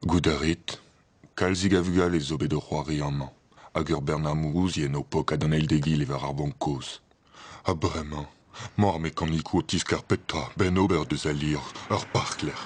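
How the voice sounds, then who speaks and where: A man voicing a gentle, grave old talking tree in a children's cartoon. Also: extremely low pitch, fairly even intonation, normal speed. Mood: wistful. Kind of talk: dubbed children's cartoon acting. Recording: music under the voice.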